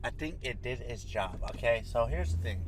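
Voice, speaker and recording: A man's voice: medium pitch, expressive, moderate pace. A man co-hosting a casual wrestling reaction chat, congested and under the weather, with laid-back, confident banter. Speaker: co-host. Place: outdoors. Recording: distant mic outdoors with loud noise.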